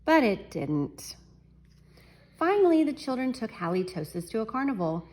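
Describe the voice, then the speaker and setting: A woman teacher reading a picture book aloud to children, animated and chuckling, pausing to talk to her class. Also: a little high. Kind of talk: children's read-aloud storytime.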